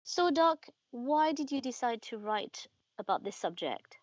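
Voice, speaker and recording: A woman's voice: fairly high pitch, very animated, unhurried, no echo. A woman hosting a podcast, reading introductions and putting questions to a guest. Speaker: podcast host, interviewer. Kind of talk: scripted introduction and interview. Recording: dull, dry, band-limited sound.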